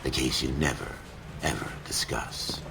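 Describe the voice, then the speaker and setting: An actor playing a world-weary, hard-boiled narrator in a noir video game, with a brooding, deliberate, gravelly delivery. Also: male voice, extremely low pitch, exaggerated voice. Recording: clear background ambient noise.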